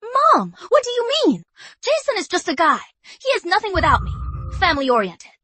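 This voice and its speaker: A woman's voice: very high voice, huge pitch swings, moderate pace, bright, forceful tone, dry recording, full character voice. An actress playing Amanda, a wealthy and arrogant career woman who talks in a scornful, raised voice. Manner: haughty, contemptuous, raised voice.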